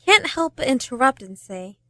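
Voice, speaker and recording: An actress playing the knowing storyteller who reads the scripted narration of a radio play. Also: female voice, high voice, sing-song, normal speed, fairly bright tone, no echo, studio quality. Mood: storytelling.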